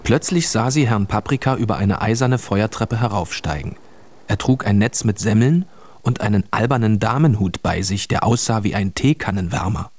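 A man's voice: fairly low pitch, moderate pace, a little mellow, a little hiss or hum. A man narrating a radio play as a scripted storyteller.

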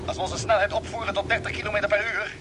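An actor playing a determined space expedition leader, urgent and insistent, in a radio play. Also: male voice, high voice, little pitch movement, rapid-fire, lightly acted. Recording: noisy, vehicle engine drone behind.

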